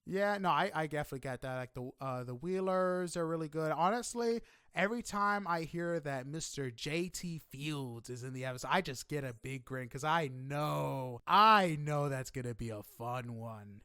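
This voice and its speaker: Higher-voiced man, enthusiastic podcast host. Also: normal speed, hardly any pauses, sharp tone, clear, resonant tone, no echo. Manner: enthusiastic, chatty, emphatic. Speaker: podcast host interviewing a guest. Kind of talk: casual conversational interview.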